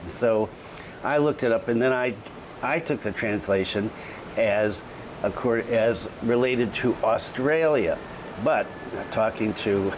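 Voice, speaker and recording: A man's voice: mid-range voice, a little hiss or hum. A man hosting a casual news update video, reading figures and articles aloud and adding his own chatty asides. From lives in Bali. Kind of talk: casual spoken news roundup.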